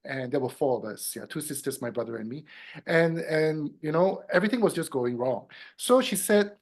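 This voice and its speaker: Animated man telling a personal story. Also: a little high, moderate pace. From Singapore. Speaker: guest, feng shui consultant. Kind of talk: interview storytelling.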